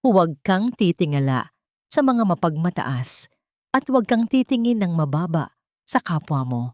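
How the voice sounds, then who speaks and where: A woman voicing a gentle, dignified poor mother speaking softly and earnestly to her child in an audio story. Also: fairly low pitch, big pitch swings, moderate pace, dry recording, clean studio sound. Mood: tender, earnest. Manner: soft, tender, earnest.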